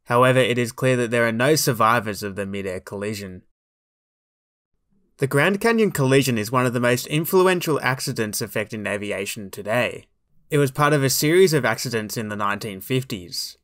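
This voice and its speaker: A man reading scripted documentary narration in a measured, formal, explanatory way. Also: medium pitch, a bit bright, no room sound, studio quality.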